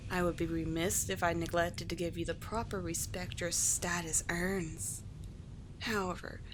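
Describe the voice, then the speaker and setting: A woman voicing a calm, teasing sea witch with soothing, formal endearments in a scripted ASMR roleplay. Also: lowish voice, background noise, natural voice. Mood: knowing, soothing. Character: calm, courtly, teasing sea witch, gentle yet slightly monstrous.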